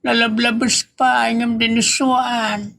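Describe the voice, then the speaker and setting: A man's voice: extremely high pitch, level tone, takes it slowly, gravelly, bright, forceful tone, exaggerated voice. A man playing an elderly, complaining working father with an Ilocano accent in a comedy drama. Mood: indignant.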